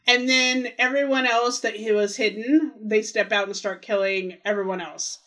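A woman's voice: medium pitch, steady tone, normal speed, a bit bright. A woman co-hosting a podcast, chatting casually as she walks through details of a TV episode. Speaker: podcast co-host. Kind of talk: casual recap chat about a TV episode.

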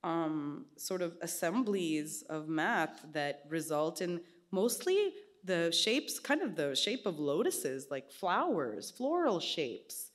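A woman in her thirties chatting on stage into a stand mic in a small indoor gallery space. She tells her stories with animation and puts on quick voices for the people she quotes. Her voice sits a little low. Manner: animated storytelling, gestures a lot, acts out quoted voices. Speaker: artist guest. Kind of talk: casual onstage conversation.

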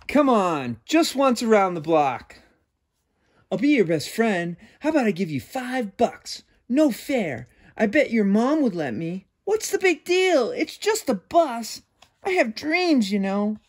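Whiny pigeon, pushy, childish, wheedling, lively swooping pitch, storybook figure, acted by a man. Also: full character voice. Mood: whiny, frustrated. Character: pleading, scheming cartoon pigeon, pushy and childish.

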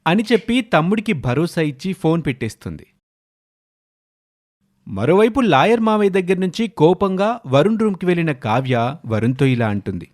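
A man's voice: on the high side, sing-song, moderate pace, darkish tone. A man narrating a scripted family drama story, linking the characters' dialogue.

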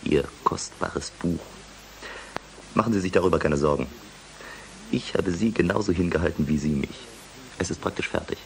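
Sardonic, deep, creaky blackmailer. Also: male voice, normal speed, pauses often, slight background noise, poor audio. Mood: smug. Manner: sardonic, mocking. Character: cynical blackmailing research student, scornful and calculating. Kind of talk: German radio play acting.

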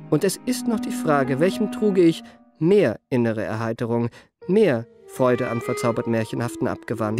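A man's voice: mid-range voice, lightly acted. An actor playing a suave young liftboy and charming con man, narrating his memoirs in a polished, ornate, self-satisfied way in a radio play. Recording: music behind the voice.

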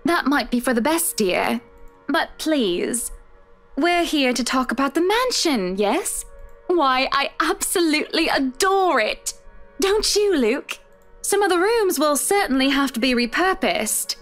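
Fussy, gushing young woman, put on by a man; high, swooping, over soft music. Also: big pitch swings, unhurried, heavily acted. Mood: sugary. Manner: fussy, sugary, says "dear". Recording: game music behind.

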